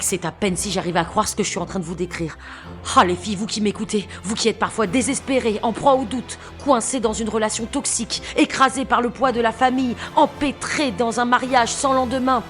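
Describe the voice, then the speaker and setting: A woman's voice: on the high side, fairly bright tone. A woman narrating a comic audio drama with gushing, over-the-top enthusiasm.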